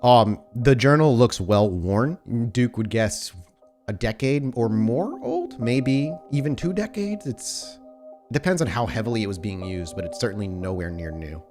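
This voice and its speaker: A man narrating a tabletop game as storyteller, improvising in a deliberate, explanatory way. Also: lowish voice, animated, music in the background.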